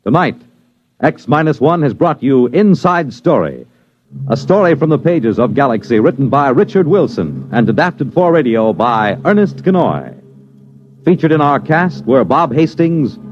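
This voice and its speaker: An American man announcing from a script in the formal style of 1950s network radio. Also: on the high side. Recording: muffled old radio recording.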